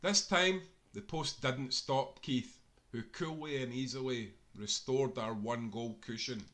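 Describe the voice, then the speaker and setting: A man narrates a football book in a bright, forceful voice of average pitch. He reads from a script like a storyteller, leaning on key phrases with dramatic emphasis. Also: measured pace. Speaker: author-reader of a football book.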